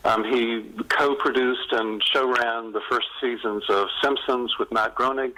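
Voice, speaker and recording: A man's voice: mid-range voice, steady tone, measured pace, bright, forceful tone, poor audio. A middle-aged man, an author, talking conversationally as a guest in a podcast interview.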